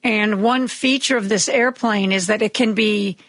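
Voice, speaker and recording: Raspy-voiced woman radio host. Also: mid-range voice, fairly even intonation, moderate pace, no room sound. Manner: chatty, wry, opinionated asides. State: raspy voice from medication. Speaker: radio talk show host. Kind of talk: casual news commentary. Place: radio studio.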